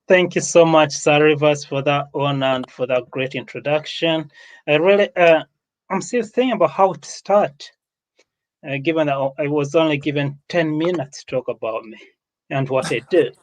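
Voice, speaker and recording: A man with a Ugandan accent and a fairly high-pitched voice addresses an online summit. He speaks without a script, leaning on repetition and emphasis to make his points. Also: moderate pace.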